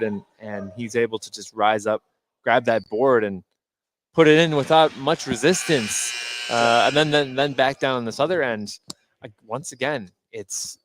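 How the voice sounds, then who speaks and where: A man giving unscripted color analysis at a basketball game, halting and repeating words as he thinks aloud. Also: mid-range voice. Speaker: color commentator. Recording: faint gym noise behind the voice. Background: indoor gymnasium ambience.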